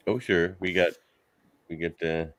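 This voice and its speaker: A man's voice: low voice, fairly even intonation, measured pace, dry recording. A man hosting a debate show in a casual, unscripted way.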